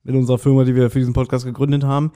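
A man with a relaxed, mellow voice of medium pitch hosts a podcast. He chats casually and jokes along, delivering his teasing with a mock-serious air. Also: very fast. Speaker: podcast host. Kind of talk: casual joking podcast banter.

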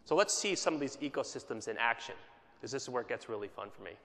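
A mid-pitched man delivers a business keynote from a stage. He sounds conversational rather than formal and keeps checking in with the audience. His voice rings out with a long reverb that fills the conference hall. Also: expressive, fast talker, gravelly, a bit bright. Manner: conversational, checks in with "right?" often. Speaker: keynote speaker.

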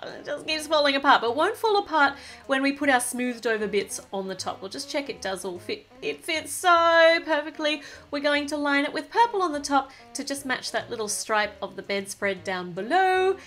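A woman's voice: sits high, very animated, moderate pace, fairly bright tone. A woman casually narrating a toy build at a table, thinking aloud and gushing over the cute little details.

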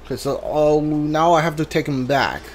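A man giving casual video game commentary, thinking aloud haltingly and reading out on-screen text as he plays. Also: fairly high pitch, a little hiss or hum. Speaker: video game streamer doing a playthrough. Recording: game music behind the voice. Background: video game music.

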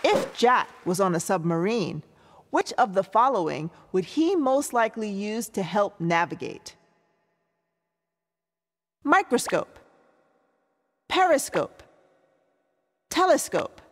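A woman's voice: medium pitch, sing-song, slow, pauses often, heavily acted. A woman playing a cheerful cartoon co-host of a video game quiz show, with a presenterly announcing manner. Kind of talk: scripted game show hosting. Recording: reverberant studio with crowd noise.

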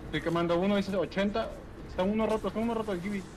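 A man's voice: sits high, steady tone, very fast, noisy background. A man making terse, urgent tactical callouts to his team while playing a shooter game. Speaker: gamer streamer. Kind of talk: quick team callouts during play.

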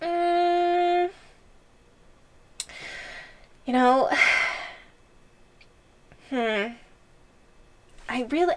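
A woman playing a playful, possessive giantess girlfriend in an audio roleplay, gleefully menacing, teasing and condescending. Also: sits high. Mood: playful menace. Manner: teasing, giggly, smug and condescending. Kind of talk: audio roleplay ASMR drama.

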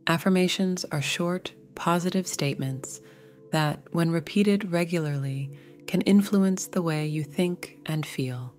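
A woman narrating a scripted guided meditation in a gentle, soothing, measured way. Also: on the low side, soft background music.

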